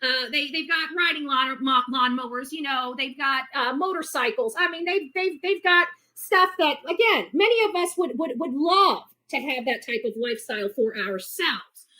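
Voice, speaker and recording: High-pitched, blunt woman commentator. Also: moderate pace, few pauses, bright, forceful tone. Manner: emphatic, repeats words for stress; blunt, indignant. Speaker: online commentator on a missing-person case. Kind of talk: casual opinionated commentary.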